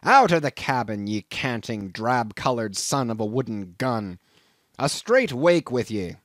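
A man's voice: mid-range voice, very animated, a little slow, dry recording, clean studio sound. A man voicing a hot-tempered old sea captain in a storybook reading, thundering in archaic sailor speech. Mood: furious outrage. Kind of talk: audiobook character acting.

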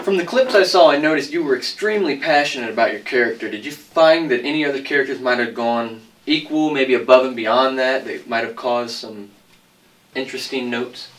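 A man's voice: mid-range voice, brisk, a bit bright, soft background music. A man asking questions as an interviewer in a conversational style. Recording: distant microphone.

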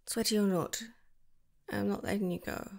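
A woman performing an affectionate ASMR girlfriend roleplay, gushing and squealing by turns, then dropping into soft whispers. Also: medium pitch, fairly even intonation, normal speed, creaky.

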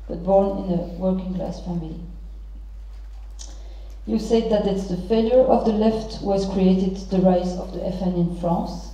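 A middle-aged woman moderating a panel, introducing guests and posing questions formally in halting, deliberate phrases. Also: fairly low pitch, dark tone. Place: large theatre stage. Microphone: handheld microphone through hall speakers. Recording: very echoey theatre PA, distant, muffled.

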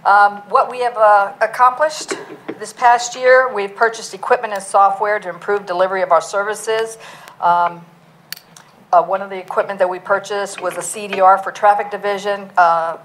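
A middle-aged woman, a police chief, gives a budget presentation in a council meeting room, speaking loudly and plainly with many fillers. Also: mid-range voice, a little hiss or hum, poor audio.

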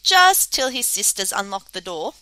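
A woman voicing a frightened, excitable young boy monster in a children's story, squeaky and pleading. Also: normal speed, no echo.

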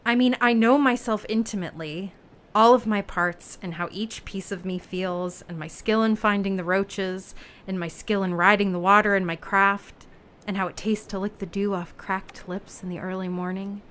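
A woman playing a self-doubting lone human raft dweller in an audio play, talking in a halting, plain-spoken, unsure way. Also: medium pitch, steady tone, fairly bright tone, lightly acted. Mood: troubled, searching. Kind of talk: naturalistic audio play acting.

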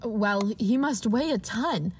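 A woman's voice: a little high, animated, takes it slowly, slight background noise. A woman playing a polite, wide-eyed and hesitant doctor in 1940s radio drama style. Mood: astonished.

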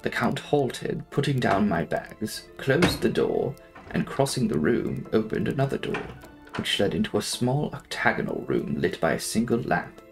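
A young man narrating a classic novel in a theatrical, deliberate audiobook style. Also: lowish voice, gravelly. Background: faint music.

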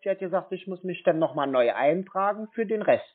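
High-voiced man on a tinny phone. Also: expressive, a little fast. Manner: insistent, repetitive, naive-sounding. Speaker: prank caller posing as customer. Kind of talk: casual phone conversation. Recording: tinny narrow phone line.

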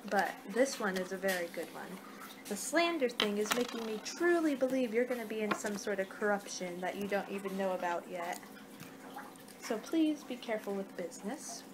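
A young woman doing an ASMR card-reading role-play with a halting, unhurried delivery. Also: mid-range voice, expressive. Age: young adult. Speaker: ASMR performer in a fortune-teller role-play. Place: small home room, indoors. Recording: noisy amateur webcam-style room recording.

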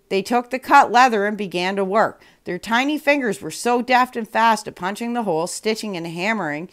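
Woman reading a fairy tale aloud. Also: medium pitch. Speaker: storytime host and reader. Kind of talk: scripted storybook narration.

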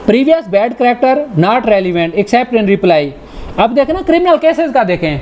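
A man's voice: sits high, darkish tone. Male law lecturer, Pakistani accent, conversational delivery with English legal terms, noisy recording. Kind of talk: informal lecture explaining statute articles with examples.

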